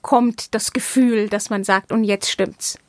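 A woman's voice: medium pitch, fairly even intonation, takes it slowly, no room sound. A woman hosting a conversational interview, speaking informally to her guest. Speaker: podcast interviewer.

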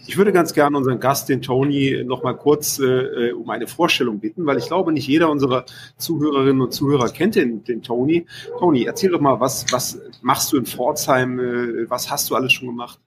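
A man's voice: mid-range voice, fairly quick, background noise. A man hosting an interview from a separate location, talking loosely with some ums. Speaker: interview host. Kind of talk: radio interview over remote connection.

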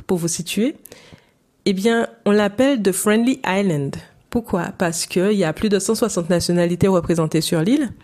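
Mellow-voiced Caribbean woman chatting. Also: mid-range voice. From Saint-Martin, Caribbean. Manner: chatty, personal, many fillers. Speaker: podcast host. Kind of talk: solo podcast monologue.

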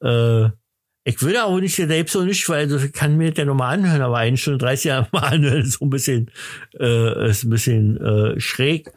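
Male podcast host, mid-pitched, talkative and enthusiastic, hesitant rambling delivery, very dry sound. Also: moderate pace, no room sound. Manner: talkative, rambling, hesitant with many broken-off sentences. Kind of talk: casual, chatty podcast conversation.

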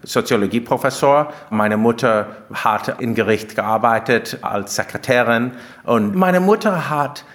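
Man with an American accent, medium pitch. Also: animated, a little slow, a bit bright. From United States. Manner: non-native German with small grammar slips. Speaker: interview guest, philosopher and author. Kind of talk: explanatory interview answers.

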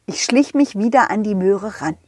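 A woman narrating a children's story in an expressive storytelling style. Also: mid-range voice, normal speed, breathy edge, no room sound.

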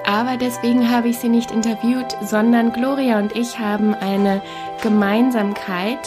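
A woman's voice: fairly high pitch, fairly even intonation, moderate pace, over music. A woman hosting a podcast, talking enthusiastically with frequent fillers. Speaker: podcast host. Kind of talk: casual podcast interview. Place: cozy living room.

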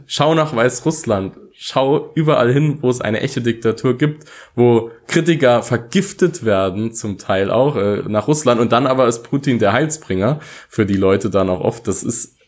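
A man's voice: medium pitch, normal speed, hardly any pauses. A man co-hosting a podcast, talking about his views in an informal, conversational way. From Saarland, Germany. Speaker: podcast co-host. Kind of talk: casual political podcast talk.

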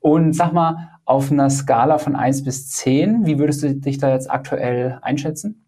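A man's voice: a little high, moderate pace, warm tone. A man hosting his podcast, asking guests friendly, upbeat questions. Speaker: podcast host and app founder. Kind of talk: podcast interviewing.

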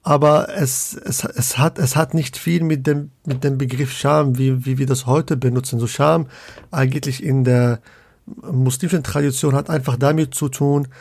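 A man's voice: medium pitch, fairly even intonation, moderate pace, gravelly, a little mellow, no echo, studio quality. A man from Morocco, a guest scholar of Islamic theology in a podcast interview, speaking hesitantly with many fillers and restarts.

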